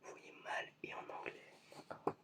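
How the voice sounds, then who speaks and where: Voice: normal speed, far from the mic. An ASMR creator whispering through a playful eye-test roleplay, asking patient, mock-puzzled questions. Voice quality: whispered, unvoiced.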